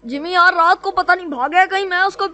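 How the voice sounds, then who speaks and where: A man voicing a flustered male driver who answers his boss evasively in a squeaky, apologetic voice. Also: quick pace, sharp tone, exaggerated voice.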